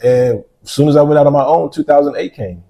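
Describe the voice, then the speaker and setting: Interview guest, man in his forties, warm low-key delivery, storyteller. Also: fast talker, gravelly. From United States. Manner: relaxed storytelling with frequent "right?" asides. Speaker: interview guest, real estate developer. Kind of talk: casual interview.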